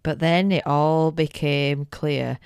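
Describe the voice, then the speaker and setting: A woman's voice: deep voice, fairly even intonation, slow, darkish tone, smooth, no echo. A middle-aged woman narrating a scripted bedtime story as a podcast co-host.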